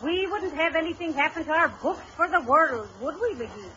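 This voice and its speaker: A woman's voice: high voice, animated, normal speed, a crowd in the background. An actress playing a homey wife in an old-time radio comedy, genteel with company and chiding toward her husband. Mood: polite, flustered. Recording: muffled, noisy radio recording with audience.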